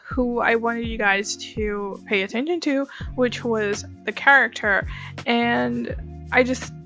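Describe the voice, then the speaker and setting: High-voiced, unhurried young woman storyteller. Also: steady tone, pushed and bright, faint music behind. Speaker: host of an art story-time channel. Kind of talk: casual chatty storytelling.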